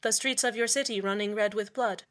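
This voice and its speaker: A woman's voice: mid-range voice, fairly even intonation, fairly quick, a bit bright, lightly acted. A woman playing a falsely cheerful, menacing community radio host in a scripted audio drama, soothing, condescending and polite. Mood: soothing, ominous. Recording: music bed under the voice.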